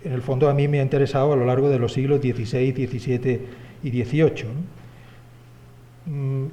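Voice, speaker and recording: A man from Spain with a Spanish accent giving an academic conference talk, speaking in a measured way with frequent pauses, fillers and tag questions. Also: mid-range voice, fairly even intonation, dark tone. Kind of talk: academic lecture. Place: university conference hall.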